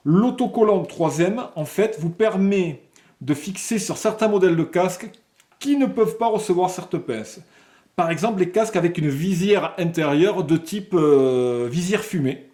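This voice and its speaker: A man presenting a product unboxing, explaining technical details step by step and sometimes halting to find words. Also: a little high, darkish tone. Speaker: product presenter for a motorcycle accessories shop.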